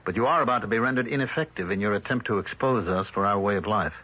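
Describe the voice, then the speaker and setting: A cold, menacing village leader. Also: male voice, fairly low pitch, quick pace. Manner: smooth, threatening, mocking. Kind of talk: 1970s radio drama acting. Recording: dull old radio broadcast.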